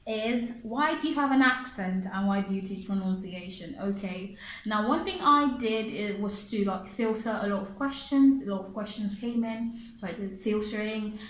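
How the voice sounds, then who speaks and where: A woman's voice: medium pitch, normal speed, reverberant, slight background noise, rough recording. A woman from Africa who teaches pronunciation and speaks English as a second language, chatting casually through viewer questions, laughing often and repeating her phrases. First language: not English.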